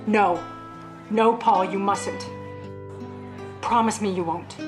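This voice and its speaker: A woman's voice: medium pitch, fairly even intonation, takes it slowly, music in the background, close to an everyday voice. An actress in a melodramatic radio play as an anxious, pleading wife who fears for her life. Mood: dread. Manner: fearful, pleading.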